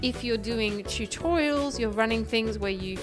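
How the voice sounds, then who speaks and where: Woman host, light higher voice, friendly explanatory review talk, close mic, music bed. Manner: friendly, chatty and explanatory, quick when talking. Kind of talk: casual tech review talk to camera. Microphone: close podcast microphone.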